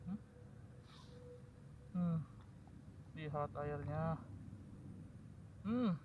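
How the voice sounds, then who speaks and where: Man vlogger, high voice, excited and joking, outdoor marsh, noisy field recording. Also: drawn-out words, narrow, tinny audio. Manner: excited, joking, addresses viewers. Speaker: eel fishing vlogger. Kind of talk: casual outdoor fishing commentary. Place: outdoors in grassy wetland by a ditch. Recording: outdoor field recording with loud ambient noise.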